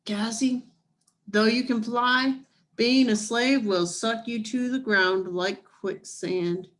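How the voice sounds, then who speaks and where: Storybook guide, female, acted by a woman reader: solemn, motherly, ghostly, slow, measured urgent instruction, slightly high voice. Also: fairly even intonation, fairly bright tone. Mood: solemn warning. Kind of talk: children's storybook reading.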